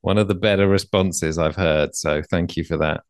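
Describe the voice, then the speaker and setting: A man hosting a podcast, asking his guest questions in a hesitant, halting way. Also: low-pitched, fairly even intonation, smooth, dry recording, studio quality. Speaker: podcast host. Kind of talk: interview questions.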